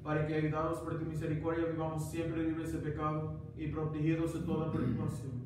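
Male priest, 30s, steady recited prayer; boomy small chapel, distant camera mic. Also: fairly quick. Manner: steady recited prayer, chants some parts. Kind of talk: liturgical prayer at Mass. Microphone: far camera. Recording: echoey chapel, distant mic, background hiss.

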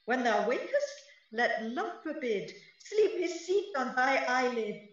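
A woman playing a mischievous fairy spirit, incanting deliberately in a Shakespearean radio play. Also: high-pitched, very animated, full character voice. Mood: spellbinding. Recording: echoey, slightly distant and dull.

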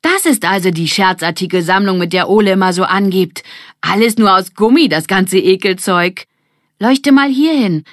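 A woman's voice: medium pitch, normal speed, dry recording, studio quality, voice pushed up, close to an everyday voice. A woman voicing a bold, spirited girl who leads a gang, in a commanding manner. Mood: relieved, scornful.

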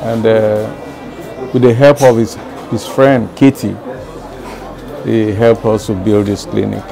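A man's voice: on the low side, little pitch movement, takes it slowly, creaky, warm tone. An older man with a Nigerian accent gives informal interview answers, somewhat hesitantly. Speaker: clinic founder and evangelist. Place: outdoors in front of a building, seated.

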